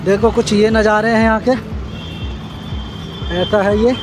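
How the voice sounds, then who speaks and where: A high-pitched man narrates a walking vlog by a busy road. His delivery stays level and monotone as he keeps pointing things out to his viewers. It sounds like a handheld phone recording outdoors. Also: measured pace, over music. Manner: repetitive, addresses viewers as friends, points things out. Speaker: vlogger. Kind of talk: casual walking construction-site vlog. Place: outdoors beside a busy road and flyover construction.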